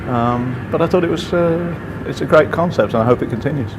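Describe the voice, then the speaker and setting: A man giving a thoughtful, informal interview, pausing and repeating phrases as he goes. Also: fairly high pitch, noisy background. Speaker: event participant giving an interview. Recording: music behind the voice.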